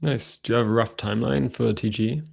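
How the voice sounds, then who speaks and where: A man's voice: mid-range voice, fairly even intonation, fast talker, gravelly, a little mellow. A man hosting an interview, asking casual questions. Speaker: interview host.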